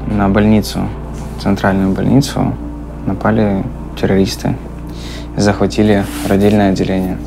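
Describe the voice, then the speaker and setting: Flat, gravelly young man. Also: fairly low pitch, poor audio. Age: 20s. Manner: calm, measured, flat delivery. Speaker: local resident. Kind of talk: documentary interview and voice-over. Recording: music playing under the voice.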